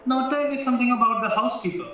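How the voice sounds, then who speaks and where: Man acting a shrewd, high-voiced lawyer, very echoey. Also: sharp tone, slight background noise. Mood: serious, deliberate. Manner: formal, deliberate, questioning. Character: shrewd, probing male defence lawyer, formal and measured. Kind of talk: stage-style courtroom audio drama acting. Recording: very echoey, distant, dull, music behind.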